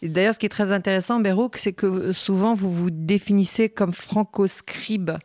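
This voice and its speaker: A woman's voice: mid-range voice, fairly even intonation, moderate pace, darkish tone, dry recording, clean studio sound. A woman hosting a radio interview who sums up her guest's words and leads into the next question.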